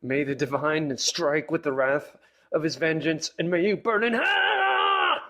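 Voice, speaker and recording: Young male prisoner, played by a man, audiobook drama: defiant zealot under torture, strained, highly animated delivery. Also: a little slow, full character voice. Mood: furious, cursing. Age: young adult. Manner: strained, emphatic. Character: defiant young captive cultist in agony.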